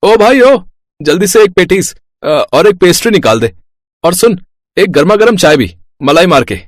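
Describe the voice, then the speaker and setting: Man acting a high-voiced, evasive young college guy. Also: animated, normal speed. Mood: casual, frank. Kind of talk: animated story dialogue.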